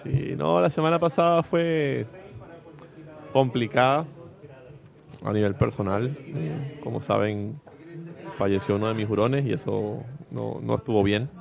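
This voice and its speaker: Guy on a podcast panel, gravelly creaky voice, just chatting, recording a bit muffled. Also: mid-range voice, unhurried, pauses often. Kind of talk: casual chat. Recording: slightly dull recording.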